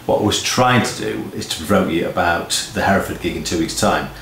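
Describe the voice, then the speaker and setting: Very low man, roomy sound. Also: a little fast, creaky, a bit bright. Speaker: co-host of a music event promoters' video update. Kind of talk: casual two-person chat. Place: indoor office room. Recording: roomy echo, noticeable background noise.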